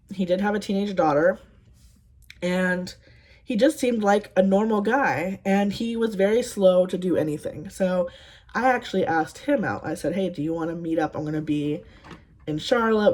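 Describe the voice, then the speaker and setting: Woman, 30s, lowish voice, casual makeup-tutorial vlogger, dry sarcastic rambler. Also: a little mellow. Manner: sarcastic, exasperated storytelling; rambling with frequent ums. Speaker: beauty vlogger. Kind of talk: casual get-ready-with-me chat.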